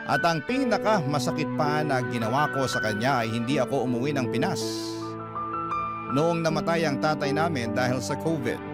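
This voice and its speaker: Drama narration: male actor as regretful older brother, Filipino accent, medium pitch, loud musical bed. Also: natural voice. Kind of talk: scripted first-person drama narration. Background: prominent background music.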